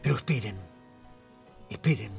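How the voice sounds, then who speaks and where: An actor playing an intimate neighborhood storyteller, narrating a scripted radio drama in an unhurried way with a Buenos Aires accent. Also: male voice, on the low side, animated, creaky, over music. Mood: storytelling. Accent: Argentine, Buenos Aires.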